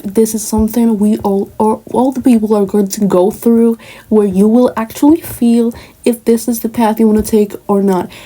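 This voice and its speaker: A woman's voice: medium pitch, mellow, rough recording. A young woman from Spain with a Moroccan family background, talking earnestly and casually to camera with many asides. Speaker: vlogger. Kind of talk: personal talk to camera.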